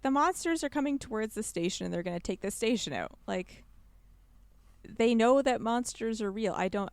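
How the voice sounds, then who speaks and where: A woman's voice: mid-range voice, no room sound. A woman co-hosting a podcast, chatting casually and colloquially, saying "like" often and acting out her own reactions as she gives opinions. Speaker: podcast co-host.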